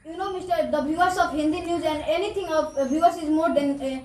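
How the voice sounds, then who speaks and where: A woman's voice: sits high, quick pace, a little mellow. A young woman with an Indian English accent arguing a classroom debate rebuttal, insistent and full of fillers. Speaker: student debater. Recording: echoey room, distant mic, faint noise.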